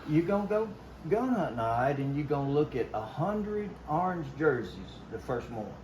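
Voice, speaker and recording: A man's voice: fairly high pitch, sing-song, moderate pace. Older Southern man spinning stories, noisy recording. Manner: folksy, emphatic, quotes past conversations. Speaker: guest telling hunting stories. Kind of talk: casual storytelling. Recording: noisy, roomy, somewhat distant pickup.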